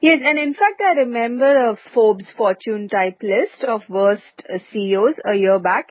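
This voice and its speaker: A woman's voice: fairly high pitch, moderate pace, dry recording. Woman on a dry, phone-like recording. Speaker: podcast co-host. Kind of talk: conversational business news commentary. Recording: narrow, phone-like lo-fi sound.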